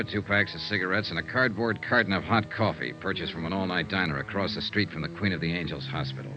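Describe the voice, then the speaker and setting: A man playing a wry, hardboiled private investigator narrating his case in clipped 1950s radio drama style. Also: low-pitched. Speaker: narrator and lead detective. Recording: dull vintage radio recording with loud music.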